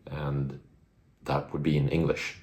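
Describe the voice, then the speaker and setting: A man's voice: a bass voice, little pitch movement, measured pace, a little mellow, breathy edge. A man, a vlogger musing aloud in casual talk about life and goals. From Sweden. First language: Swedish. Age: 20s. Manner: reflective, musing, self-motivational. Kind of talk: casual vlog talk to camera.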